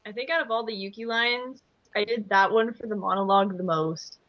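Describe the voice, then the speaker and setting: A woman's voice: medium pitch, fairly quick, dry recording, dull audio. A woman, a voice actor chatting casually on a commentary panel. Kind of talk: casual chat.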